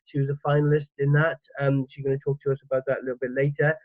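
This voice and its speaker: A man's voice: mid-range voice, completely flat delivery, racing, no room sound. A man hosting his own channel, asking questions eagerly and stumbling over his words with many fillers. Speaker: YouTube channel host, interviewer. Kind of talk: casual interview hosting. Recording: thin phone-like sound, dry.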